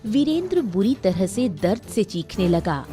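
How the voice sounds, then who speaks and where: A woman narrates a scripted story in a dark, relaxed voice of medium pitch. Faint music plays beneath the narration. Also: measured pace.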